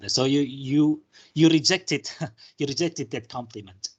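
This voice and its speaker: A man from Indonesia at a middle pitch with a husky, slightly breathy voice gives a relaxed university lecture. He thinks aloud, trails off into fillers and restarts sentences as he explains ideas. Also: measured pace, lo-fi sound.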